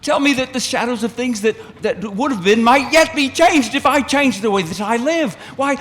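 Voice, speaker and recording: An actor playing an older repentant miser who pleads breathlessly and breaks into laughter. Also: male voice, extremely high pitch, lively intonation, moderate pace, a bit bright, in a large hall. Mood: desperate, then vowing. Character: repentant old miser, frantic then giddy with joy.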